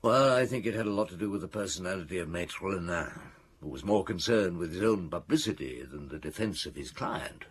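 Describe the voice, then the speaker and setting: A middle-aged actor playing a weary, reflective chief inspector in a radio drama, speaking in a measured, ruminative way. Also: male voice, sits low, lively intonation, breathy edge. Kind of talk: radio drama dialogue and recollection.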